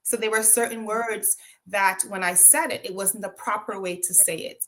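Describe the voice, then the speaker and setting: A woman's voice: medium pitch, steady tone, moderate pace, fairly bright tone. A woman from Jamaica with a Jamaican accent, telling her own story as an interview guest, reflective, with frequent ums and tag questions.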